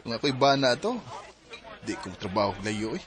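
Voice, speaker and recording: A man's voice: mid-range voice, very animated, normal speed, fairly bright tone. A man playing a shy, smitten young employee who speaks hesitantly in a radio drama, with a Filipino Cebuano accent. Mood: casual, defensive. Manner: hesitant, stammering. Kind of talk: Philippine radio drama acting.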